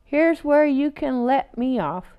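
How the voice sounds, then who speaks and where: A woman's voice: high-pitched, expressive, slow pace, lo-fi sound, full character voice. A woman voicing a kindly, jolly old male Santa bear from a children's storybook, in a cheery proclaiming manner. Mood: polite, cheerful.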